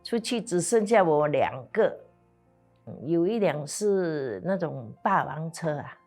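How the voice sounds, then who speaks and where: A woman's voice: deep voice, expressive, takes it slowly, a little mellow, soft background music. An older woman with a Singaporean accent, recalling personal memories as an interviewee. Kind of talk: personal recollection.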